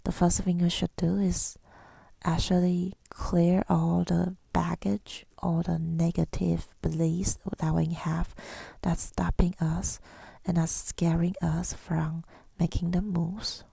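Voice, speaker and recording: A woman speaking in a soft, breathy whisper in an ASMR style, reflective and hesitant, pausing often, close to the microphone. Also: fairly low pitch, little pitch movement, no room sound. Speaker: ASMR creator. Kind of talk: ASMR, rambling personal reflection.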